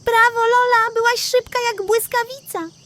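A woman voicing a kind, steady male turtle in a children's story, with an encouraging manner. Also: very high-pitched, moderate pace, voice pushed up. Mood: enthusiastic.

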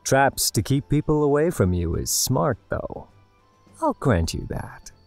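A man playing a calm, matter-of-fact, reassuring male explorer in an audio drama. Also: mid-range voice, unhurried, creaky, soft background music. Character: Nikan, calm practical male explorer, reassuring to his captive.

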